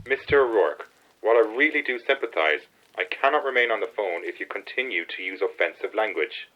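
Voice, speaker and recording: Low-voiced, unyielding Irish helpline official, played by a man. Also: expressive, brisk, dull audio, natural voice. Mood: polite, unyielding. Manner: formal, firm, procedural. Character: rule-bound, unyielding hostel helpline official. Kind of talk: radio play acting.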